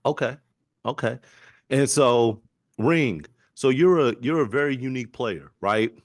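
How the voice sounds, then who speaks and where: A young male host with a mid-pitched voice leads a relaxed interview, friendly and easygoing. The recording is dry and close, with no room sound. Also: no room sound. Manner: laid-back, friendly, with quick affirmations. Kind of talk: casual interview.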